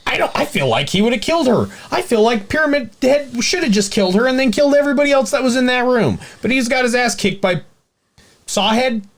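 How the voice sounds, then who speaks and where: A man's voice: extremely high pitch, animated, quick pace. A man chatting casually as a podcast co-host, with a blunt, joking, slangy way of talking. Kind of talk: casual chat.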